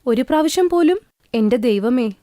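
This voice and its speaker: A woman's voice: high voice, animated, moderate pace, mellow, dry recording, studio quality. A woman voicing a kind, caring elder sister-in-law who soothes and advises. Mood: friendly. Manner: soothing, friendly.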